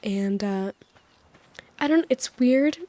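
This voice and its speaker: A woman hosting a sleep-aid video, rambling gently over gameplay, her voice slightly hoarse as it recovers. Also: mid-range voice, slow pace, no echo. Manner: gentle, soothing, meandering with small laughs. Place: voice-over recorded apart from the game footage.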